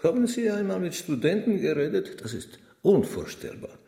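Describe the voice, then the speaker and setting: Mellow, fussy logician. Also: male voice, high-pitched, very animated, normal speed, creaky, roomy sound, exaggerated voice. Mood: earnest. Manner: formal, literal-minded, polite. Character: earnest, naive, pedantic Viennese logician, fussy and polite. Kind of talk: radio drama acting.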